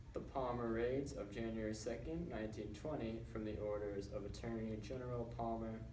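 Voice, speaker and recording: A young man reading a scripted school newscast report in a halting, recited manner. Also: mid-range voice, a little mellow. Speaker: student news presenter in a class project. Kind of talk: scripted narration read as a newscast. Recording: roomy, distant mic with background noise.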